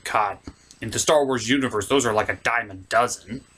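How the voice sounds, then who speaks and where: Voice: mid-range voice, normal speed, a bit bright, breathy edge. A theatrical, exaggerated host narrating a scripted comedic movie recap.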